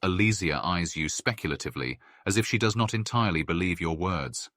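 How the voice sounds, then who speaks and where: A man playing a solemn storyteller, reading scripted fantasy game narration in a measured, formal way. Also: deep voice, lively intonation, a bit bright, no echo, studio quality. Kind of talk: scripted second-person narration. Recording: faint music behind.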